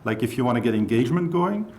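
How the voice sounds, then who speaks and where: A man's voice: mid-range voice, very animated, quick pace, darkish tone, slight background noise. A man from Belgium speaking on a panel discussion, casual and filling his speech with "like". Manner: casual, lots of "like" fillers.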